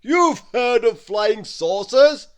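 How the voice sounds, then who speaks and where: A man voicing a huge, jolly male giant wizard who booms and laughs loudly. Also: expressive, takes it slowly, smooth, heavily acted. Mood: jovial. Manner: booming, shouting, laughing.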